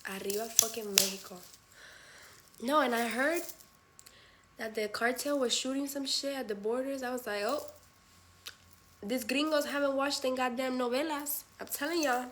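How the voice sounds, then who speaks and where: A woman's voice: a little high, heavy background noise. A woman who grew up in Spain, hosting a livestream and chatting with viewers in a candid, blunt, profane way, slipping Spanish phrases into her talk. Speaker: livestream host. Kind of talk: casual live chat with viewers.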